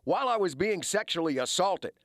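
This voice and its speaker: A man's voice: high voice, lively intonation, fairly quick, a bit bright, dry recording, clean studio sound. A man with an American accent reporting in a broadcast news style as a television investigative reporter.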